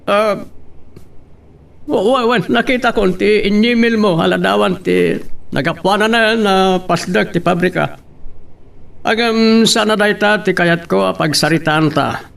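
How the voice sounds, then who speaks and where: A man acting in an Ilocano radio drama as a guarded businessman and father, speaking haltingly. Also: high voice, gravelly, a bit bright, far from the mic, heavily acted. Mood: businesslike, hesitant.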